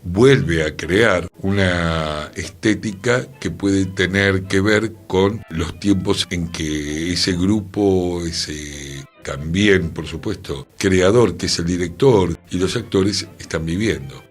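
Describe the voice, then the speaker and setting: A man with a Rioplatense Argentine accent in a reflective radio discussion, speaking in measured, emphatic phrases that trail off at the ends. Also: low-pitched, husky, faint music behind. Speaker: radio talk participant. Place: radio studio.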